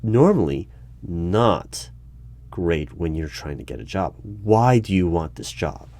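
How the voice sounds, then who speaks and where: A man's voice: sits low, animated, slow pace, dark tone, no room sound, exaggerated voice. A man playing an exasperated, bureaucratic human resources manager in a one-sided interview roleplay, hesitating and stammering when flustered. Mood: exasperated, officious. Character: exasperated, officious human resources hiring manager at secretive agency. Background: computer keyboard typing.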